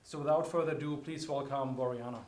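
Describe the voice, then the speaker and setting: A man's voice: mid-range voice, fairly even intonation, quick pace, heavy background noise. A young man introducing a keynote speaker, reading a prepared biography with a few fillers. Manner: reads a prepared bio, some fillers. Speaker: event introducer. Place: lecture hall. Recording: roomy hall sound.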